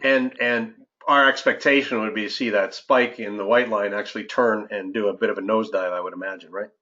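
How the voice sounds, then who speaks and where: A dull, lo-fi call recording carries a man's fairly low voice. He speaks as an investment adviser hosting a market update, in a measured, halting way that sounds partly prepared. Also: fairly even intonation, sharp tone. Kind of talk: semi-scripted financial market commentary. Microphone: conference call line. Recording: dull, lo-fi conference call audio.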